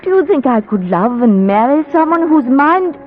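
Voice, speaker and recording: Casting: anxious, devoted genteel wife, high voice, tinny old radio play. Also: female voice, sing-song, normal speed. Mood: tender, reassuring. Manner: pleading, genteel. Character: devoted, anxious young wife, earnest and insistent. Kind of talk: 1940s radio acting.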